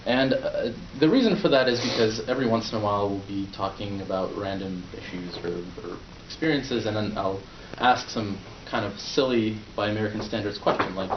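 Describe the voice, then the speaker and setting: Low-voiced Polish-born man giving a club speech. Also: a little fast, few pauses, background noise. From Poland. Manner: conversational, with fillers and restarts. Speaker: club speaker. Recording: roomy, distant, dull sound.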